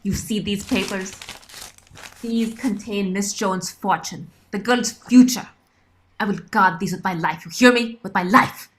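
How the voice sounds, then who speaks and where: A woman's voice: mid-range voice, a little slow, fairly bright tone, a little hiss or hum, close to an everyday voice. A woman playing a devoted, motherly older servant with a solemn, intense and menacing edge in an audio drama. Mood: fierce threat.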